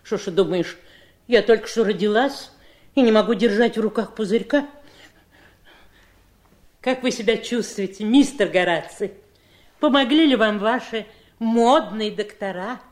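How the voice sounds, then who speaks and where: A woman's voice: fairly high pitch, measured pace, pauses often, darkish tone, close to an everyday voice. A woman acting a devoted servant in a 1970s Soviet radio drama, deferential but bossy and fussing. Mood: fussy, bossy. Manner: deferential yet fussing and scolding.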